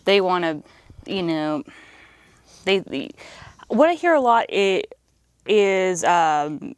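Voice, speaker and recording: A woman's voice: lowish voice, sing-song, slow, clear, resonant tone, no echo. A woman chatting informally as an interviewer, in loosely phrased conversational speech.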